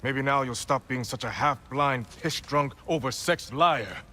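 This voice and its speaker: A man's voice: mid-range voice, measured pace, sharp tone, a little hiss or hum. A man playing a proud, stern boar shapeshifter in human form in a video game, speaking in a blunt, formal, dry manner. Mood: scornful. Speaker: game character, exiled advisor. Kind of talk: video game cinematic acting.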